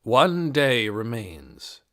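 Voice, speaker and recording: Narrator doing a grave president guy giving a formal speech, slow and firm, declaiming, audiobook. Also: mid-range voice, big pitch swings, fairly bright tone, no echo, studio quality, full character voice. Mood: grave, resolute. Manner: solemn, deliberate, declamatory. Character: grave, resolute male president addressing nation. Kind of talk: formal broadcast address in an audiobook.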